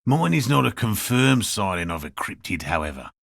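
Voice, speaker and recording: A man playing an earnest, slightly defensive cryptozoologist in a video game, with a raspy, gravelly voice and a measured, academic, matter-of-fact manner. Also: on the low side, sing-song, a bit bright, no echo, clean studio sound, heavily acted. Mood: careful, defensive. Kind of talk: video game character dialogue.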